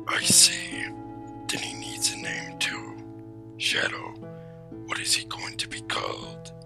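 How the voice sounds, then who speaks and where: A man voicing a menacing alien tyrant king, slow and imperious, in a rasping whisper. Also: music in the background, natural voice. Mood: cold. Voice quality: breathy, gravelly rasped whisper.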